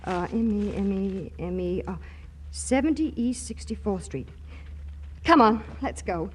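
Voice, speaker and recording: A woman's voice: mid-range voice, expressive, a little slow, natural voice. A woman playing a flustered, indignant young working woman in a 1940s radio comedy, with stammering, emphatic protests. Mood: exasperated, determined. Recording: noisy 1940s radio broadcast recording.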